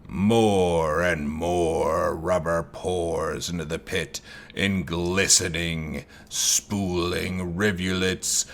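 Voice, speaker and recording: A man narrates a horror story in a very low, gravelly voice. He reads at a crawling, deliberate pace. His tone is bright and forceful, with faint noise underneath. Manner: slow, dramatic horror reading.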